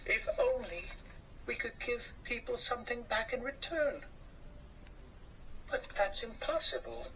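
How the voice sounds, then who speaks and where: A gentle, sorrowful mouse wife from a storybook, as a man acts her. She speaks high up, devoted and resigned. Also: expressive, measured pace, pauses often, exaggerated voice. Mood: sad, resigned. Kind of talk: children's storybook character voice. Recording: slightly distant mic, faint noise.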